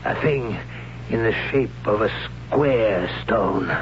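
An actor playing an awed young man who visited a haunted ruin, speaking in a hesitant, halting, hushed way, in a 1970s radio drama. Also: on the low side. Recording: dull lo-fi old radio broadcast with hiss.

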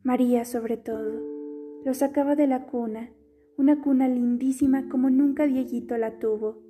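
A woman with a dark, mellow voice, pitched a little high, reads a letter aloud slowly and wistfully. Quiet guitar plays faintly behind her as she narrates. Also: fairly even intonation. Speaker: reader of a literary letter. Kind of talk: scripted audiobook reading.

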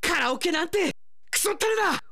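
Man playing a melodramatic, high-pitched young alien elite. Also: lively intonation, brisk, creaky, pushed and bright, full character voice. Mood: furious. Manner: earnest, melodramatic, exclamatory. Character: proud, hot-headed, naive male alien elite investigator. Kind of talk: radio drama acting.

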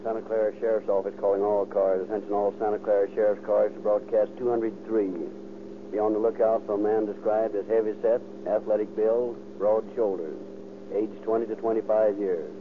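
A man playing a curt police radio dispatcher, reading a bulletin in a clipped, official, flat manner in 1930s radio style. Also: lowish voice, a little fast, lightly acted. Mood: stern, official. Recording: noisy old radio broadcast, phone-like band, hum.

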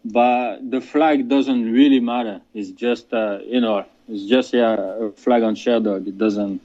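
Fighter on a dull line. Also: male voice, medium pitch, fairly even intonation, warm tone. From France. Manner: halting, many restarts and fillers, casual slang. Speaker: MMA fighter. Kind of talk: interview answers, remote.

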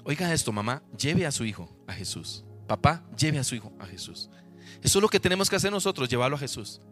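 A man's voice: mid-range voice, very animated, fast, a bit bright, faint music behind. A man with a Costa Rican accent preaching a sermon to his congregation, emphatic and full of rhetorical questions. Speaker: pastor.

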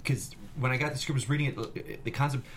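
A man of medium pitch talks very quickly in a casual panel discussion. He rambles and keeps interrupting his own sentences. Faint noise sits behind his voice. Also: steady tone, fairly bright tone.

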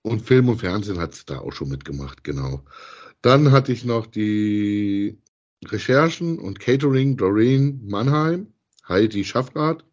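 A middle-aged man co-hosting a casual review podcast, speaking in a halting, hesitant way. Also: lowish voice, expressive. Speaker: podcast co-host. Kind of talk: casual review chat about audio dramas.

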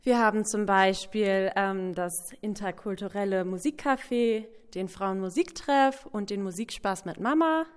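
A woman's voice: medium pitch, a little slow, hardly any pauses, clear, resonant tone. A young woman introducing her music association in a cheerful, casual way.

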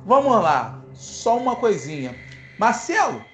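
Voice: medium pitch, very animated, unhurried, fairly bright tone, a little hiss or hum. A host, explaining film history in a casual, playful way to the audience. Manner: playful, self-mocking asides.

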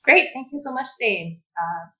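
A woman's voice: fairly high pitch, lively intonation, unhurried, sharp tone. Chatty woman from Thailand, podcast guest. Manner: chatty, self-correcting, friendly. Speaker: guest, project manager. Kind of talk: casual interview.

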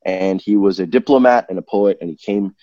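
A man's voice: low-pitched, a little fast, dry recording, lo-fi sound. A man from Malaysia, a guest in a casual interview, talking at length with many restarts and self-corrections. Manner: rambling, self-correcting, animated.

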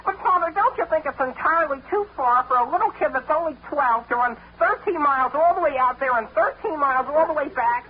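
An actor playing an excitable, argumentative teenage boy in a 1940s radio comedy, insistent and flustered. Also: extremely high pitch, a little fast, few pauses. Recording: dull, noisy 1940s radio recording.

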